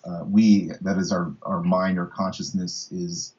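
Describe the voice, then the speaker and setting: Man, thirties, deep pitch, casual interviewer, hesitant word-searching delivery, muffled lo-fi sound. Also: flat delivery, darkish tone. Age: 30s. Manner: halting, searching for words. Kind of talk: casual philosophical conversation.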